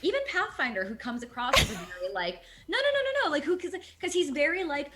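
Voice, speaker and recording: Earnest woman voice actor, lower voice. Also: fast talker, very noisy. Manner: earnest, animated, talks in long run-on thoughts. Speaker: voice actor guest. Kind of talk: casual video-call chat.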